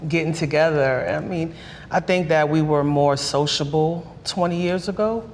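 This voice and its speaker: A woman in her forties, a comedian, chatting on a talk panel in a relaxed, conversational way. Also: low-pitched, moderate pace. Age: 40s. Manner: relaxed, conversational, many fillers. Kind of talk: candid panel chat. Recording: reverberant room with faint noise.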